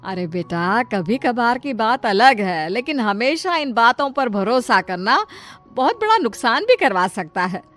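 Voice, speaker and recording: Middle-aged cartoon mother-in-law, acted by a woman: doubting, scolding, motherly, on the high side. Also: big pitch swings, measured pace, few pauses, fairly bright tone. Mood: gently cautioning. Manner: chiding, motherly. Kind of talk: scripted cartoon story dialogue.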